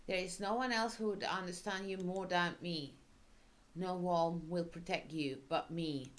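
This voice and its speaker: A woman acts out a smothering visual-novel mother. She speaks slowly in a slightly low voice, cloying, scolding and manipulative. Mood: cruel, gloating.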